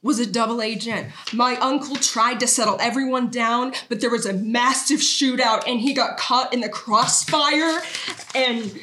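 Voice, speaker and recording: A woman's voice: on the high side, normal speed, bright, forceful tone, slight background noise. A woman playing an earnest, evasive storyteller who tells borrowed tragedies melodramatically in a comedy sketch read. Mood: solemn, dramatic. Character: earnest evasive storyteller Ollie, passing off movie plots as trauma.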